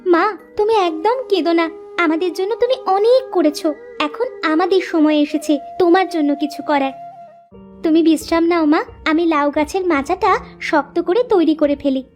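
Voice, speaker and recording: A woman acting as a young village girl in a cartoon fable. Her voice is extremely high and childlike, sweet and earnest. She comes across as dutiful and hardworking, with light music underneath. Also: moderate pace. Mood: caring, earnest. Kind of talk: cartoon moral story voice acting.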